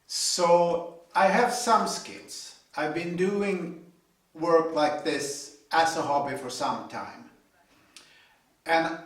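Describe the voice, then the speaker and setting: A man in his fifties with a Swedish accent, a native Swedish speaker, giving an informal, halting explanation to camera as a hobby builder. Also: on the high side. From Sweden. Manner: halting, deliberate. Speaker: hobby builder presenting own video series. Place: indoor home garage workshop. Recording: echoey garage, distant microphone.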